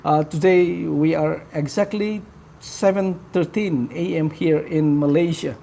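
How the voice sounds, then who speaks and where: A man hosting a casual live stream, talking to his viewers in a halting way with many fillers. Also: on the high side, steady tone, gravelly, warm tone, a little hiss or hum. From Philippines. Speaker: livestream host.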